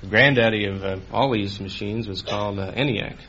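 A man's voice: on the low side, a little fast, pushed and bright. A man answering interview questions as a contestant, hesitant and matter-of-fact. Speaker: quiz contestant, computer designer. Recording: dull old radio broadcast, faint noise.

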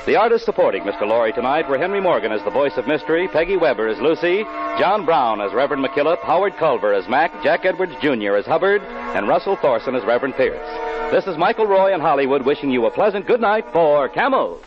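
An American man announcing from a script in brisk 1940s radio style. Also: on the high side, big pitch swings. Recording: muffled 1940s radio broadcast over music.

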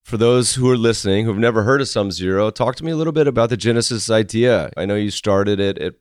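A hoarse man hosting a podcast, chatting casually with rambling asides. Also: lowish voice, fast, dry recording, studio quality. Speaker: podcast host. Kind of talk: casual interview chat.

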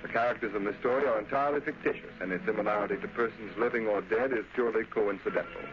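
A man's voice: on the low side, very fast. A man working as a radio announcer, reading a script in 1930s style. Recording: noisy, muffled 1930s radio recording.

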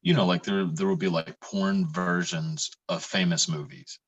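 A man runs a relaxed interview with an easygoing manner. His voice sits very low and sounds husky and breathy. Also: moderate pace, highs cut off. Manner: easygoing, lots of yeahs and backchannel. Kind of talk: casual interview.

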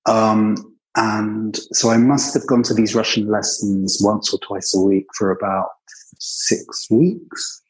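Low-voiced older British man reminiscing. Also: steady tone, slow pace, low-quality recording. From Britain. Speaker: interview guest recalling his years living abroad. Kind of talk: conversational personal reminiscence.

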